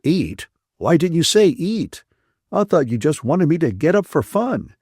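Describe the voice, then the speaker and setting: A man's voice: big pitch swings, measured pace, darkish tone, breathy edge, no echo, clean studio sound, full character voice. A man doing a wisecracking, mock-lecturing young man. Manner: mock-lecturing, bantering, high and lilting. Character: teasing, wisecracking young man, playful and mocking. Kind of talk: audiobook character voice.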